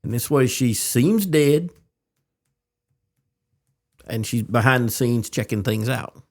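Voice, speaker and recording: A man in casual podcast conversation as a co-host, speaking haltingly and repeating words. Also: mid-range voice, expressive, darkish tone. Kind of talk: casual chat.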